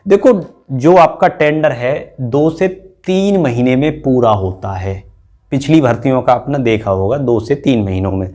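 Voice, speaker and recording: Man, mid-pitched voice, informal teacher, step-by-step explainer, repeats points. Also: measured pace, mellow. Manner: repetitive step-by-step explaining, frequently checking with "okay". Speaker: exam-prep teacher and channel host. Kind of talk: informal explainer addressed to exam candidates.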